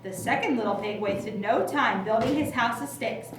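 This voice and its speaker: A woman's voice: a little high, normal speed. Woman narrating in a very echoey room. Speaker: puppet show narrator. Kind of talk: scripted children's storytelling. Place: indoor room at a library. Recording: very echoey room, distant mic, clear noise.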